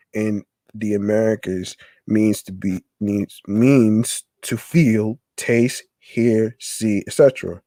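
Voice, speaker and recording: A man with a lowish, mellow voice reads a long text aloud on his podcast. He moves very slowly, often stumbling and restarting mid-word. The sound is close and dry. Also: no echo. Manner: halting reading, stumbling and restarting over words. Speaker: podcast host.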